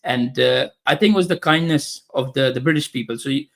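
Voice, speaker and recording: A man's voice: medium pitch, fairly quick, vocal fry. Earnest man speaking English as a third language. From Saudi Arabia. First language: Urdu. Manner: earnest, polite, with restarts and fillers. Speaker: guest. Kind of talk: casual interview.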